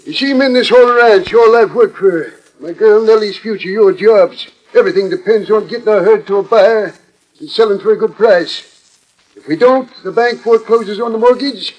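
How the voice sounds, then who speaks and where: High-voiced, folksy aging ranch boss. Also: male voice, moderate pace, highs cut off. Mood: earnest, worried. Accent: American Western. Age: older. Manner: folksy, earnest. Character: aging, worried, plain-spoken male ranch owner. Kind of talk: 1940s radio Western acting.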